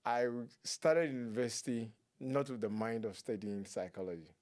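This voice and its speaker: A man, telling his life story as a guest in a relaxed, laughing way. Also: medium pitch, normal speed. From Ghana. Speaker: guest, clinician. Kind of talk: storytelling interview talk.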